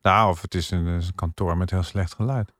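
A man's voice: sits low, fairly even intonation, moderate pace, fairly bright tone, no echo, clean studio sound. A man, chatting casually as a podcast co-host. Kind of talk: casual chat.

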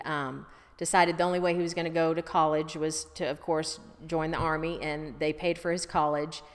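A woman's voice: low-pitched, big-room sound. A middle-aged woman as an interview guest, chatting easily about her family history with fillers and restarts. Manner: chatty, easygoing storyteller with fillers. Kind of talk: casual life-story interview.

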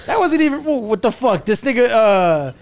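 A man's voice: very high-pitched, sing-song, moderate pace. A young man joking animatedly in podcast banter, slipping into silly voices. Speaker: podcast co-host. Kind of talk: casual banter among friends.

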